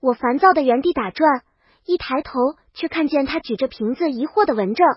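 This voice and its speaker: High-pitched woman narrating a comic story. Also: expressive, a little fast, dry recording, highs cut off. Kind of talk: first-person comic scripted narration, princess heroine.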